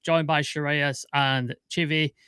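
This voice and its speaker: A man's voice: fairly high pitch, level tone, bright, forceful tone, smooth, dry recording, studio quality. A man hosting a casual football podcast, chatty and joking with his co-hosts. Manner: chatty, joking, quick interjections. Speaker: podcast host.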